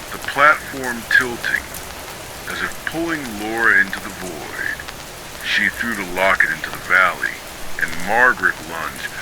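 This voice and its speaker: A man reading a scripted ghost story for sleep in a measured, dramatic storytelling manner. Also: fairly low pitch. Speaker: horror story narrator. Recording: steady rain noise behind voice. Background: rain falling.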